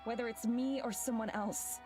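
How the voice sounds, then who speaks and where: A woman's voice: fairly high pitch, steady tone, normal speed, music in the background. A woman reading a visual novel's dialogue and first-person narration aloud.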